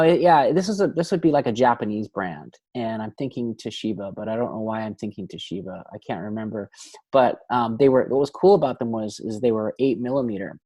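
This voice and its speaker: A man's voice: medium pitch, mellow, no echo. A man from Canada talks as a guest in a casual interview, telling stories about his start in film. He often thinks aloud and circles back with fillers. The sound is dry and close, with no room around him. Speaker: film director and cinematographer, guest.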